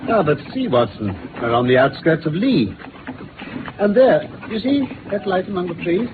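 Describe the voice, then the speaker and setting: A man with a British accent playing a brisk, incisive gentleman detective in a 1950s radio drama, articulate and theatrical as he lays out facts. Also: high-pitched, big pitch swings. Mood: measured. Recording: noisy, phone-like old radio recording.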